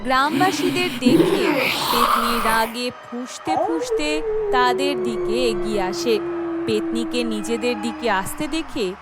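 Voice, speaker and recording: A woman narrates a scripted horror cartoon tale. Her voice is high and she takes her time, with music playing clearly underneath. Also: lively intonation, slow.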